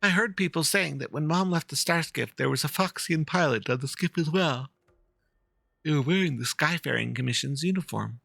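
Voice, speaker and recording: Man putting on a high, earnest young fox-girl. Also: normal speed, vocal fry, fairly bright tone, no echo. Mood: anxious. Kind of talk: amateur dramatic reading of game dialogue.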